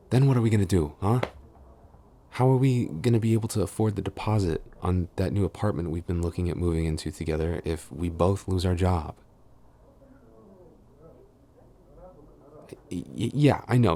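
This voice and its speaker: A man's voice: deep voice, warm tone, a little hiss or hum. A man playing a flustered tsundere boyfriend and office supervisor in an intimate audio roleplay, stammering, exasperated and defensive as he scolds. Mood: worried, chiding.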